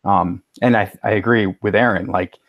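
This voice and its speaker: A man's voice: on the low side, fairly even intonation, moderate pace, no room sound, lo-fi sound. A man giving his opinions casually as a panelist on a podcast, with tentative starts and blunt views.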